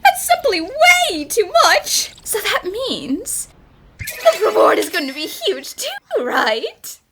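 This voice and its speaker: A woman voicing a cheerful, confident young female detective in an animated fan dub, speaking in a perky, polite and breezy way. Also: very high voice, slow, slight background noise, heavily acted. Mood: excited. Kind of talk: amateur English fan dub of animated game dialogue.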